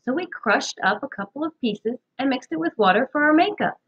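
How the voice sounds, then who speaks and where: A woman's voice: sits high, animated, brisk, husky, highs cut off, pitched above the performer's own voice. A woman voicing a sheepish, earnest boy at summer camp in a storybook, explaining himself defensively.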